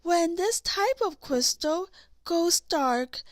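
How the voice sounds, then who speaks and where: A woman's voice: very high voice, animated, slow pace, dry recording, pitched above the performer's own voice, heavily acted. A woman voicing a fond, wistful, motherly female troll in a children's storybook reading. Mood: sad, wistful.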